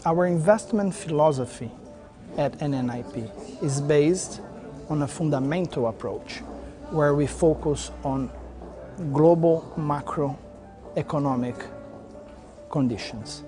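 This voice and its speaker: A man's voice: fairly high pitch, slow pace. A man stating his team's investment views in a corporate interview, earnest and stressing his convictions. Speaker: emerging market debt investor. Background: faint music.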